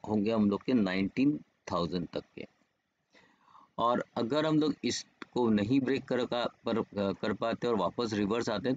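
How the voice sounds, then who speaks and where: A man's voice: medium pitch, a little mellow. A man explains markets informally as a trading educator, often checking in with the listener. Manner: explanatory, with repeated check-in tags. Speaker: stock trading educator and host. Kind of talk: informal market analysis over screen charts. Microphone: voice-over on screen recording. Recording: dull, muffled lo-fi voice-over.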